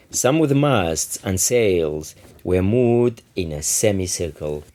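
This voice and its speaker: Male author-narrator: low, soft-edged and dark in tone, measured delivery of literary prose. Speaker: author reading own novel.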